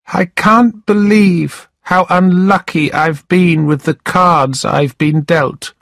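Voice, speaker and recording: A man voicing a miserable, despairing old man in a storybook, with a strained, creaky voice. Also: sits high, steady tone, takes it slowly, fairly bright tone, dry recording, clean studio sound, voice pushed up, exaggerated voice.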